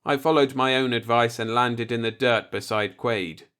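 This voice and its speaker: Guy reading a story out loud, audiobook narration, mid pitched voice. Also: steady tone, moderate pace, smooth. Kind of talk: scripted story narration, read aloud.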